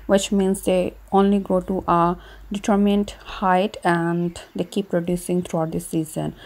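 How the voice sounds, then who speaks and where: A woman host calmly explaining her gardening work, speaking outdoors on a garden patio while she transplants seedlings. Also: medium pitch, creaky. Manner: calm, even, explanatory. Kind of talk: casual gardening tutorial narration.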